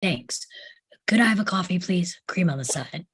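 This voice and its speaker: A woman playing a bossy, excitable boy who directs amateur films, in a husky voice at a screenplay table read. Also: lowish voice, moderate pace. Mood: casual.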